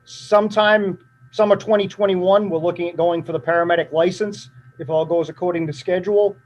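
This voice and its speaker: A man presenting a fire department budget at a town meeting, matter-of-fact and steady, his voice drying up. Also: high-pitched, a little fast, slight background noise. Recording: dull lo-fi meeting recording.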